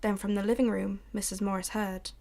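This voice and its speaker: A young woman narrating a radio drama in a measured, brooding way. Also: mid-range voice, flat delivery, gravelly, mellow.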